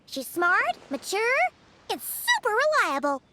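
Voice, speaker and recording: An actress voicing a tiny, excitable, childlike fairy companion in a video game, squeaky, exclamatory and quick to get indignant. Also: female voice, background noise, full character voice. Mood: earnest. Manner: squeaky, exclamatory, speaks of herself by name. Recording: loud music behind the voice.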